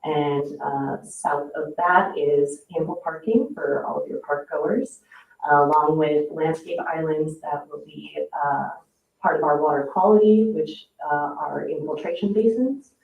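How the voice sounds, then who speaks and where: A woman with a deep voice walks a meeting through a project in an orderly, explanatory way. She sounds distant and slightly dull in a reverberant room. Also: measured pace, creaky. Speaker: engineer presenting a project. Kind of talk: formal presentation at a public meeting. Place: indoor municipal meeting room. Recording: muffled, echoey room, distant mic.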